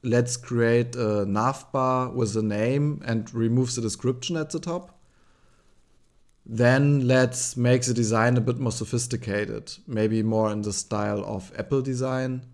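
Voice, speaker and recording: A man with a German accent hosting a relaxed screen-share tutorial, thinking aloud with frequent ums and likes. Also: medium pitch, a little slow. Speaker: tutorial host.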